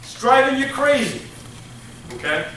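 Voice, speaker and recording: A man's voice: very high voice, very animated, moderate pace, fairly bright tone, a little hiss or hum. A man teaching a college hospitality class, animatedly acting out business deals with his students. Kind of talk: interactive classroom lecture. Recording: echoey classroom from far off.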